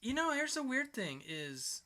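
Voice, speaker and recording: A man's voice: very high-pitched, sing-song, measured pace, sharp tone. A man co-hosting a podcast, chatting casually with joking asides. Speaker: podcast co-host.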